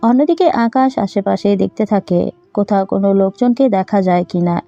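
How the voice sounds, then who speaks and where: A woman's voice: medium pitch, brisk, warm tone, no echo, muffled recording. A woman narrating a scripted audio story.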